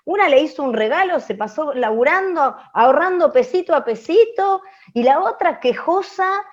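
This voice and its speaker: A high-voiced Argentine woman explains like a teacher, searching for words and checking in with her listeners. The recording sounds slightly dull and muffled. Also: lively intonation, moderate pace. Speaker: radio drama teacher. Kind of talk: explanatory online class, spoken off the cuff.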